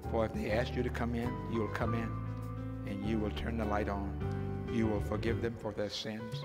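An older man, a pastor, closing a sermon and praying from a pulpit in an empty church sanctuary, with a measured, repetitive preaching cadence. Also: fairly low pitch. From United States. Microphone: gooseneck pulpit mic.

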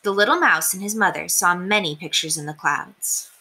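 A young woman reading a children's storybook aloud in an expressive, deliberate way. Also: on the low side. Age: young adult. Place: small indoor room. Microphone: webcam at desk distance.

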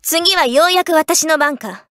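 A woman's voice: sits high, unhurried, fairly bright tone. An actress playing a brash, boastful young military woman in an anime audio drama, blunt and commanding. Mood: wry, confident. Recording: music bed under the voice.

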